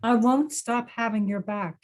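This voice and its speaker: An actress playing a frightened, loyal friend who pleads earnestly in a dramatic table read. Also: female voice, on the high side, unhurried, a little mellow, close to an everyday voice. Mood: frightened, pleading. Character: terrified but loyal friend held hostage.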